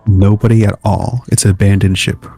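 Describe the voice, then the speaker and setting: A man's voice: lowish voice, little pitch movement, fairly quick, vocal fry, mellow, slightly rough, soft background music. A man narrating as game master of an online tabletop game.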